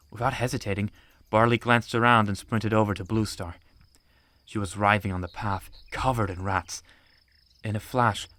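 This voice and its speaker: A man's voice: lowish voice, a bit bright. A man reading scripted audiobook narration of an animal adventure story.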